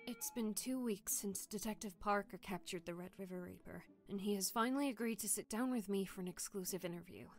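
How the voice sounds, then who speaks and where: Actress as a creaky-voiced news presenter. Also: female voice, medium pitch, soft background music, natural voice. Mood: composed. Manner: measured, formal. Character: composed female TV news interviewer. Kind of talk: scripted broadcast presenting in audio drama.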